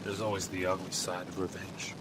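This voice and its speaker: A man's voice: low-pitched, level tone, fairly quick, background noise, lightly acted. A man voicing a grim, irritable robot hunter in a fan radio drama, curt, menacing and full of contempt. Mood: irritated, threatening. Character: grim, irritable robot manta-ray hunter bent on revenge.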